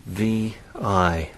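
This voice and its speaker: An actor playing a cheerful, adventurous gentleman in a radio drama, breezy and full of old-fashioned slang. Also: lowish voice, background noise. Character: adventurous upper-class British gentleman, cheerful.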